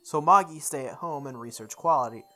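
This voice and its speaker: A let's play host narrates a visual novel in a mid-pitched voice over music. The reading is deliberate, like a story told aloud, and now and then trips on a word. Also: bright, forceful tone. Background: background music.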